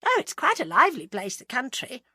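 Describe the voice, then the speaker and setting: A woman voicing a brisk, bossy, jealous female amateur sleuth in an audiobook, snappy and assertive. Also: high-pitched, expressive, a bit bright, no echo, clean studio sound, voice pushed up. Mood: cheerful. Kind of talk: audiobook character acting.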